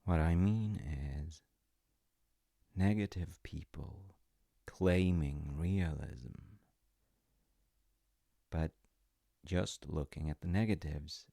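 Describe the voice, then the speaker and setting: A man voicing a caring, patient father in an audio roleplay. He talks softly and reassuringly, sometimes dropping to a whisper. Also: low voice, creaky, breathy edge, no room sound, studio quality, exaggerated voice. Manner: soft, reassuring, unhurried, drops to whispers at times. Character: caring middle-aged dad, gentle and patient. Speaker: voice actor.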